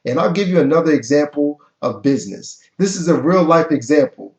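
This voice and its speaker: Energetic man coaching over a webcam. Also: a little high, brisk, soft background music. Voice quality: occasional stutter. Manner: energetic, preachy, repeats key phrases. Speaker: motivational coach. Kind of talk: webcam motivational talk. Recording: echoey, dull webcam audio.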